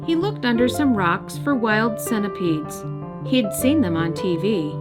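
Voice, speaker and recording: A woman narrating a children's storybook as the storyteller, in an expressive scripted read-aloud style. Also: medium pitch, a little slow. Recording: music bed behind narration.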